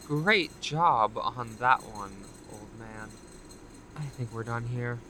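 Woman acting slow smooth-talking recruiter lady in an audio drama, condescending, some noise behind. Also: extremely low pitch, sharp tone, exaggerated voice. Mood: mocking. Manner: measured, condescending, deliberate.

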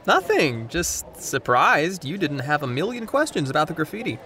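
Bright, high-pitched cocky teenage boy. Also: huge pitch swings, normal speed, full character voice. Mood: smug, needling. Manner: sarcastic, teasing. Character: teenage boy, cocky teasing next-door neighbor. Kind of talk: naturalistic audio drama acting.

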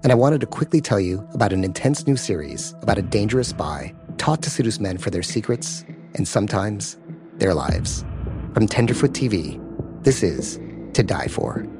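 Low male promo read, slow and dramatic, music bed behind. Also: sing-song. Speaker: podcast host in an ad. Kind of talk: scripted podcast promo.

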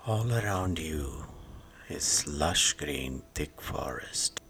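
A man guiding a sleep meditation, speaking soothingly in short hushed phrases with long pauses, often fading into a breathy whisper. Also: extremely low pitch, animated, a little hiss or hum. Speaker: meditation guide. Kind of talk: guided sleep meditation narration. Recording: music behind the voice. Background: meditation music.

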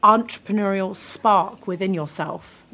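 A woman hosting a podcast interview, giving clear, prepared introductions and questions. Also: medium pitch, a little slow, bright, forceful tone. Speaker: podcast host.

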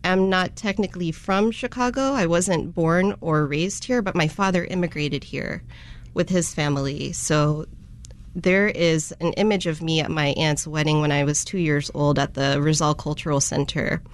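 A woman's voice: deep voice, moderate pace. A woman speaking conversationally as a guest in an interview. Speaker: interview guest, community archive volunteer.

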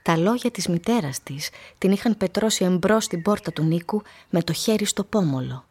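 A woman narrator reading scripted literary prose for a radio play in a measured, storytelling manner. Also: on the low side, expressive, a little mellow, slightly rough.

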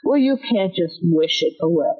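Husky, booming woman instructor. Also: animated, normal speed, dull audio. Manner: emphatic, acts out example lines. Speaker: self-defense instructor. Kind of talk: instructive interview talk.

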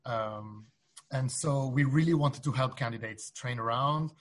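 Husky-voiced Belgian man being interviewed. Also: medium pitch, a little slow. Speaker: interview guest, startup founder. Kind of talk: casual interview chat.

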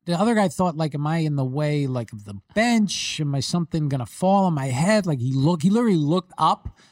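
A man with a fairly high voice co-hosts a casual sports chat, and he tells his stories animatedly, stopping and restarting as he goes. The sound is close and dry. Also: a little fast, dry recording. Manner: animated storytelling with many restarts. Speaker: podcast co-host, rules expert.

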